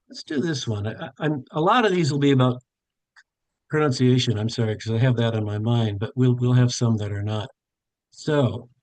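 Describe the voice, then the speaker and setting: A man's voice: mid-range voice, darkish tone, no echo, poor audio. An older man leading a live online workshop for tutors, thinking aloud as he narrates each step on a video call, with frequent fillers and self-corrections. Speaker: tutor trainer. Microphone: webcam on a video call.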